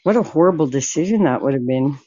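A woman's voice: deep voice, expressive, quick pace, dark tone, lo-fi sound. A woman of forty from Canada, hosting a stream and chatting candidly with a caller, laughing often. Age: 40s. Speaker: stream host. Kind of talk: casual chat with a caller.

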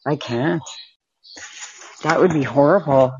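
A woman's voice: low-pitched, takes it slowly, a little mellow, lo-fi sound, slight background noise. A woman of forty from Canada, hosting a stream and chatting candidly with a caller, laughing often. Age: 40s. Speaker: stream host. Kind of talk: casual chat with a caller.

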